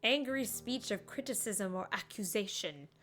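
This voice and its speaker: A woman's voice: mid-range voice, lively intonation, moderate pace, a bit bright. A woman streaming a game, giving casual live commentary with playful, wry asides.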